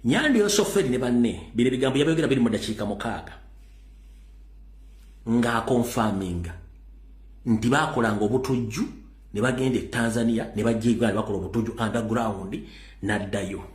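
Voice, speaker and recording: A man's voice: mid-range voice, fast talker, roomy sound. A man hosting a political talk show, delivering urgent, insistent commentary and mixing English into his speech.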